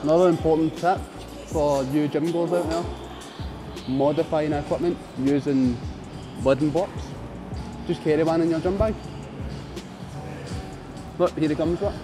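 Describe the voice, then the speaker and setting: A young man with a slightly raised pitch, talking in blunt, jokey banter in a big indoor gym. Music plays clearly under his voice as he chats between sets. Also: unhurried, long pauses. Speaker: fitness vlogger. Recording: music playing under the talk.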